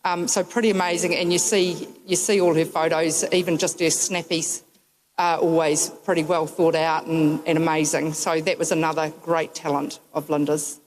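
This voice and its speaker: Woman, New Zealand accent, eulogy speaker, wry anecdotes, low voice, lots of ums. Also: little pitch movement, moderate pace, poor audio. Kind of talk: memorial tribute speech.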